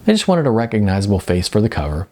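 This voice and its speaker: A man's voice: on the low side, huge pitch swings, quick pace, darkish tone. A man voicing a young video store clerk who talks in an offhand, chatty, dismissive way. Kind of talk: audiobook character voice.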